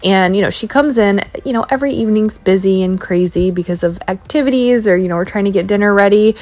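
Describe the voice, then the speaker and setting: A woman's voice: medium pitch, no echo. A woman in her thirties narrating a home cleaning vlog in a casual, chatty way, with many fillers. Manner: chatty, relaxed, with many fillers like "um" and "you know". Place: home kitchen.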